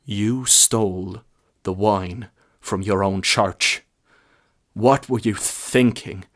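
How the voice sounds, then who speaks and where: A man voicing a world-weary, stern parish priest in a story, with a measured, solemn, admonishing manner. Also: on the low side, a bit bright. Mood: harsh anger. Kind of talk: short story character reading.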